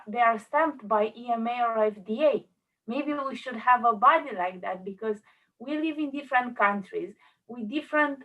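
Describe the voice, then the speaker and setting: Bright-voiced woman on a distant call. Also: on the high side. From Romania. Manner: earnest, halting, with many restarts. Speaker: panelist. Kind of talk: online panel discussion.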